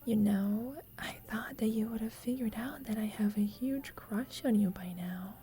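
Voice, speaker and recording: A woman playing a teasing, affectionate young roommate with a secret crush in an ASMR roleplay, speaking softly and breathily, often in a whisper, with playful, tender gentleness. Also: fairly high pitch, normal speed, close to an everyday voice. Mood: tender, confessional. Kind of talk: ASMR roleplay, intimate sleep-aid audio.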